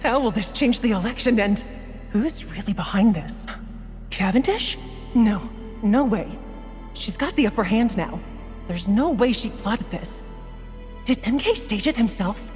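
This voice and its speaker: An actress playing a shrewd, cynical young woman in a dramatic, animated video game cutscene style. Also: medium pitch, measured pace, long pauses, big-room sound, soft background music, close to an everyday voice. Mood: scornful, intrigued.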